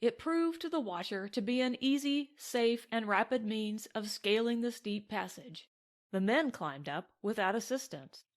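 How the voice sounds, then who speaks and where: A woman's voice: on the high side, expressive, a little slow. A woman reading scripted narration from a western novel as an audiobook. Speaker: audiobook reader.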